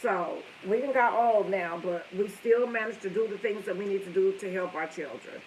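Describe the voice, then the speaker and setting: A woman's voice: mid-range voice, moderate pace, slight background noise, low-quality recording. A woman in her fifties tells a story to her viewers in a chatty, rambling way, often breaking off to talk to her family. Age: 50s. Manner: chatty, wanders off topic, talks to viewers and family. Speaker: live streamer telling a story.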